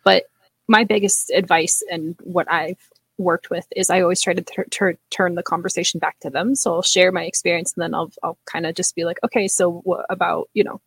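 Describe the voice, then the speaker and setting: A woman's voice: on the low side, steady tone, moderate pace, vocal fry, fairly bright tone, husky, no echo. A woman co-hosting a podcast in casual chat. She talks candidly and laughs often. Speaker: podcast co-host.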